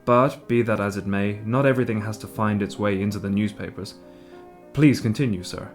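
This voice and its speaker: A man playing a discreet, courteous gentleman with formal delivery in a radio play. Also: on the low side, fairly quick, close to an everyday voice. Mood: courteous, composed. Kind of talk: scripted radio play, formal letter reading. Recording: studio radio play with music behind. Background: music.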